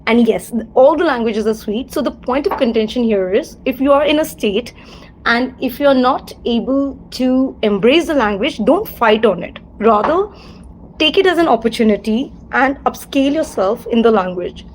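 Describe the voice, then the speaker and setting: A woman's voice: a little high, lively intonation, normal speed, a little hiss or hum, low-quality recording. A woman with an Indian English accent, hosting a livestream, talking in a discursive way and circling back to the same set phrases. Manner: discursive, repeats set phrases, frequent fillers. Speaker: livestream host. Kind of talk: casual livestream monologue.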